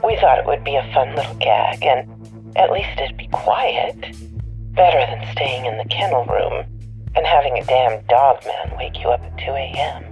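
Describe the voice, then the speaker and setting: A woman's voice: extremely low pitch, animated, normal speed, darkish tone. An actress playing a reluctant, anonymous woman caller, hesitantly telling her story in a conversational monologue. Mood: matter-of-fact storytelling. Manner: hesitant, self-correcting. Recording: dull lo-fi tipline recording. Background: faint music.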